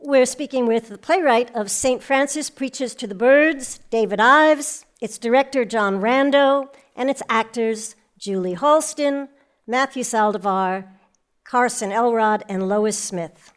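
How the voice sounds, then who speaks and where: A woman's voice: a little high, unhurried. A woman host and interviewer, reading credits and putting prepared questions to guests. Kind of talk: scripted credits and interview hosting.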